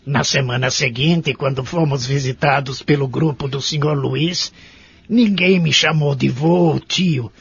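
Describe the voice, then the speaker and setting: A man's voice: fairly high pitch, a bit bright, no echo, exaggerated voice. A Brazilian man playing a proud, stubborn, frustrated old nursing-home resident in an audio drama. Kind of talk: audio drama narration and dialogue. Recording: muffled, clearly noisy home recording.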